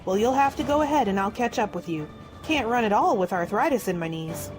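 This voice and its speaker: A woman acting a bustling, callously hurried village neighbor in a radio drama, brisk and urging. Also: mid-range voice, animated, faint music behind, natural voice.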